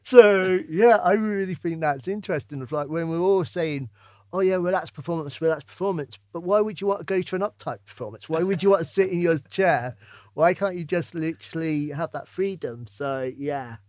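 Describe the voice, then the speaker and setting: Higher-pitched man hosting a casual podcast. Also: normal speed, a little mellow, no room sound. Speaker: podcast host, interviewer.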